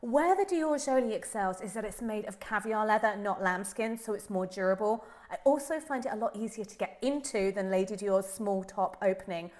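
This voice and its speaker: A woman's voice: mid-range voice, normal speed, hardly any pauses, darkish tone. A woman with a head cold, sounding nasal, chatting enthusiastically through a handbag review. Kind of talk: casual product review vlog.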